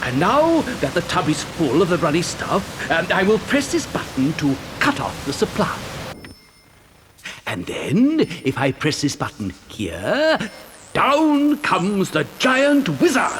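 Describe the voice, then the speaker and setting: A high-pitched, gleeful and eccentric factory owner, stammering and chuckling as he shows off. His delivery is theatrical and swings wildly in pitch, in a children's audio play. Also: wildly swooping pitch, slow pace, a bit bright, full character voice. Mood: gleeful, boastful. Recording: noisy old cassette recording.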